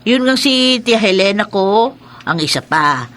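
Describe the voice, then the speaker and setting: A woman's voice: medium pitch, expressive, unhurried, a bit bright. A woman playing a feisty, doting old grandmother in a radio drama, folksy and exclamatory. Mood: reminiscing. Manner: folksy, exclamatory, teasing and fussing.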